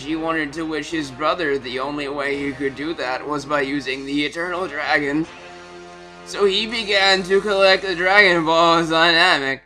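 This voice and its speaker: A man voicing a gloating, impatient alien warrior villain in a theatrical, drawn-out way, as in a fan fiction reading. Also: fairly high pitch, pushed and bright, pitched above the performer's own voice, rough recording.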